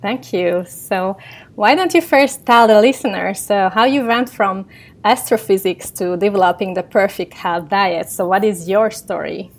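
A woman's voice: medium pitch, a little slow. A woman whose first language is Hungarian, hosting a health podcast and reading a formal introduction of her guest. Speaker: podcast host, nutritionist. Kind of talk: scripted introduction then interview.

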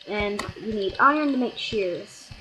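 A let's play gamer giving rambling, self-correcting commentary on a block-building game, with sudden excited outbursts, recorded at a home computer. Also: medium pitch, unhurried, background noise. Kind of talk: casual gameplay commentary.

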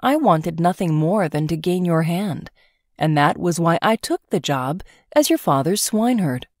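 A woman voicing a self-assured, stubborn young man, a swineherd prince, calm and firm in a storybook. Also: fairly low pitch, normal speed, no room sound, studio quality. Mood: earnest.

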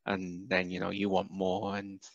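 A British man in his thirties, raised in Wales, chatting casually as an interview guest over a headset, with relaxed, halting speech full of fillers. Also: deep voice, creaky, a crowd in the background. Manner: relaxed, many fillers and restarts. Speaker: guest, cap business founder. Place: indoor room, video call. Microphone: headset on video call. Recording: dull, dry video-call audio.

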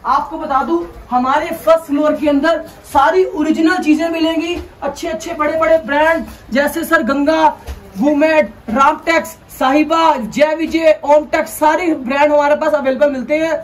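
A young man, the owner of a clothing shop, making a sales pitch and insistently repeating reassurances to the camera inside his large store. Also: fairly even intonation, normal speed, a little hiss or hum, low-quality recording.

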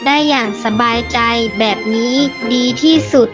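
A woman's voice: on the high side, normal speed, over music, close to an everyday voice. A woman voicing a curious, kind young child adventurer who speaks with wonder to young listeners in a storybook tale. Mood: thoughtful, kind. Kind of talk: children's story hosting and acting.

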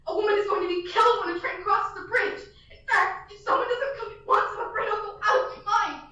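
Teen girl as a panicky invalid woman, very high voice, pleading, echoey far-off dull recording, school radio play. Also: fairly quick, pushed and bright. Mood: anxious. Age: teens. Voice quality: anxious, breathless, pleading. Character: frantic bedridden woman alone at night.

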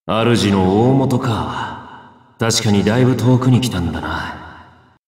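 A man voicing a gruff, wary sentient sword in an anime game, blunt and rough in casual speech. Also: lowish voice, slow pace, a little mellow. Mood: dry, musing. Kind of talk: anime game character voice acting. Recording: echoey reverberant processing.

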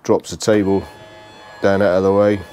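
A British man giving a casual, unscripted walkthrough tour, speaking haltingly and repeating phrases as he goes. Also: sits low, flat delivery, warm tone, a little hiss or hum. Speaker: vlogger giving a motorhome tour.

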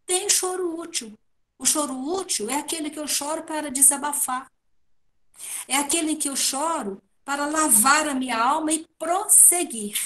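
A woman's voice: high voice, moderate pace, fairly bright tone. A middle-aged Brazilian woman giving a didactic devotional talk, asking and answering her own questions, speaking into an earphone headset on a video call. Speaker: guest speaker at a spiritist talk. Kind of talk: devotional talk, explaining read passages. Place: at home indoors on a video call. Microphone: wired earphone headset.

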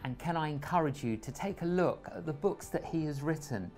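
A man's voice: on the high side, animated, brisk, darkish tone, soft background music. A middle-aged man hosting a studio interview, encouraging and upbeat. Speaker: host. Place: TV studio set, indoors.

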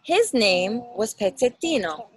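An expressive woman reads a picture book to a young child. Her voice is fairly high and very lively in pitch, with an unhurried pace. She explains and asks simple questions as she goes. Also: no echo. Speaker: parent reading a picture book aloud. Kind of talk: children's storybook read-aloud. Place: outdoors in a backyard. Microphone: phone or laptop at arm's length.

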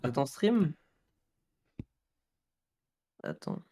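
A man's voice: a little high, sing-song, moderate pace, dark tone. A man talking as server staff in a casual voice chat, colloquial and matter-of-fact. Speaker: game server staff member.

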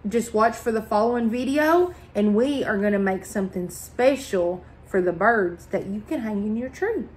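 A middle-aged woman reading a picture book aloud to children, phrasing expressively and deliberately. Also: mid-range voice, expressive, a little hiss or hum. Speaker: library storytime reader. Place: indoors at a table in a small room.

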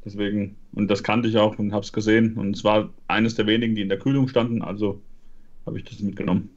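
A man's voice: lowish voice, little pitch movement, quick pace. A man in a casual live stream chat who explains things in a plain, matter-of-fact way over a headset. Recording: dull lo-fi call audio.

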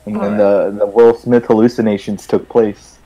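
A man's voice: fairly low pitch, level tone, normal speed, a crowd in the background, low-quality recording. A man chatting casually on a game stream, playfully riffing on absurd ideas. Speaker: guest player in game stream. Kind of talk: casual joking chat.

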